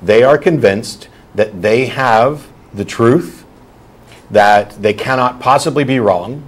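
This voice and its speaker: A man's voice: medium pitch, slow. A man hosting a call-in talk show, answering a caller bluntly and explaining his arguments at length. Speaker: host.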